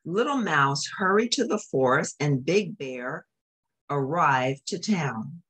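Female storyteller for a children's picture book: husky, lowish voice, expressive read with friendly asides to young listeners. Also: takes it slowly, low-quality recording.

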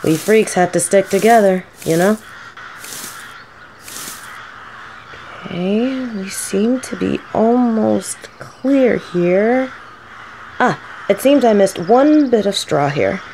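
A woman playing an earnest, shaken professor and field agent in an audio roleplay, speaking in a halting, breathy, fretful way. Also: medium pitch, lively intonation, mellow. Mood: musing. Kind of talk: dramatic audio roleplay acting. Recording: noisy outdoor ambience with cawing crows.

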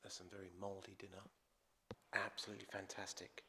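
A man's voice: deep voice, monotone, a little fast, noisy background. A man casually narrating as he explores an abandoned hospital, chatty and curious, explaining what he finds. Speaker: urban explorer host.

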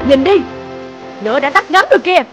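A wicked cartoon fairy, haughty and vengeful. She gives orders in a high, commanding, gloating voice, with music playing behind her. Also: lively intonation, quick pace, lo-fi sound. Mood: angry, commanding. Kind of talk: cartoon fairy-tale dubbing.

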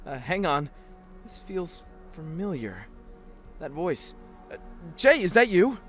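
A man's voice: on the high side, big pitch swings, slow, fairly bright tone, exaggerated voice. A man voicing an anxious, timid young robot in a comic dub, stammering and pleading. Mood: nervous, confused. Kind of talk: fan comic dub acting. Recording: music behind the voice.

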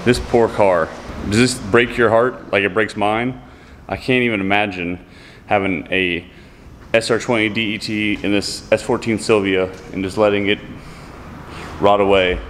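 A man's voice: on the low side, steady tone, moderate pace, fairly bright tone. Man vlogging cars outdoors over street noise. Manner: enthusiastic car-enthusiast talk. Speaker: car vlogger. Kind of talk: casual walkaround vlog commentary to viewers. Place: outdoors by roadside car lots and shops. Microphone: handheld camera mic.

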